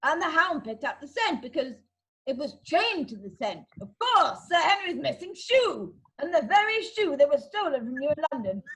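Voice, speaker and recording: A woman playing an eager, naive male sidekick in a comic amateur radio play, earnest and excitable. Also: sits high, normal speed, full character voice, low-quality recording. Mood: excited.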